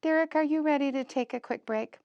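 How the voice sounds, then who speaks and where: A woman's voice: sits high, fairly quick, vocal fry, no room sound, lo-fi sound. A woman puppeteer presenting to young children, using simple, emphatic phrases and lots of questions.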